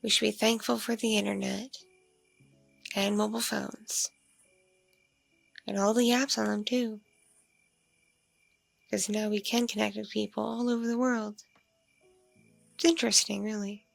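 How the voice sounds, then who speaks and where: A woman playing a lonely, coy vampire mother in an ASMR roleplay, musing slowly in a husky, breathy, creaky voice with soft hums. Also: mid-range voice, flat delivery, no echo, soft background music. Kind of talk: ASMR audio roleplay, intimate one-sided conversation.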